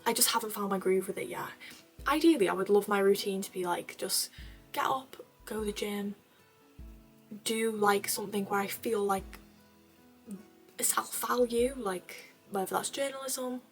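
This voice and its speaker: A chatty young woman from North Wales talks through viewer questions in a relaxed, rambling way, full of little fillers. Her voice sits at an everyday middle pitch. Faint guitar music plays quietly behind her. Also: normal speed. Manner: chatty, rambling, many fillers and soft laughs. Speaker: vlogger answering viewer questions. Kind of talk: casual chat. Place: bedroom at her parents' home.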